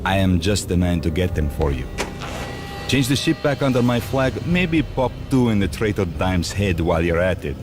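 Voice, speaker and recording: An actor playing a sly arms-dealing mobster in a game, talking with wry, showy gangster bravado. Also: male voice, deep voice, very animated, moderate pace. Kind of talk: game cutscene dialogue. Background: music.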